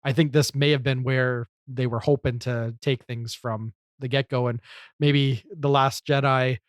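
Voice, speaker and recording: A man's voice: medium pitch, steady tone, a little slow, no echo, clean studio sound. A man co-hosting a podcast, discussing film casually in a thoughtful, explanatory way. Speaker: podcast host.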